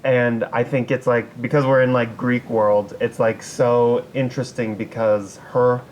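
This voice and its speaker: A man's voice: medium pitch, flat delivery, a little slow, a little hiss or hum, rough recording. A man co-hosting a podcast, retelling myths in an informal, jokey way. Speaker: podcast co-host. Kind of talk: casual chat retelling myths.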